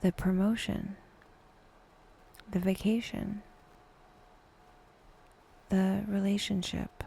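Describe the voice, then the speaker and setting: Slow, near-whispering woman guiding meditation. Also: medium pitch, little pitch movement, poor audio. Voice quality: soft, breathy, often near-whispered. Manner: unhurried, with long pauses between short phrases. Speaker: meditation guide, podcast host. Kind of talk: guided meditation, soft slow scripted narration.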